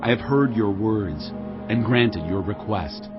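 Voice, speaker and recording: A fierce warrior chief in an audio scripture drama. He declaims with solemn force and devout conviction at a middle pitch. Music plays clearly behind his slightly dulled voice. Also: slow pace, muffled recording. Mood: grateful, solemn.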